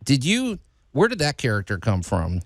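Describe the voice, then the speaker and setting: A man's voice: mid-range voice, wildly swooping pitch, no echo. Easygoing male radio host, dry studio. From Chicago, USA. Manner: easygoing, halting, many fillers. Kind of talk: casual radio interview.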